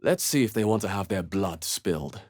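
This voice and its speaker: A husky, wary fantasy game adventurer. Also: male voice, deep voice, expressive, normal speed, a little mellow. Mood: grim, determined. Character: male adventurer hero, wary, determined, spellcaster. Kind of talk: video game character voice lines.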